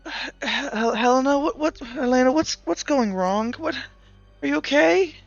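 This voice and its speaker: A man's voice: extremely high pitch, normal speed, gravelly, no echo, muffled recording, faint music behind, pitched above the performer's own voice. A man voicing a tired, concerned mother who stammers as she checks on her daughter. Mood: worried. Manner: stammering, anxious. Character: tired worried mother, middle-aged woman.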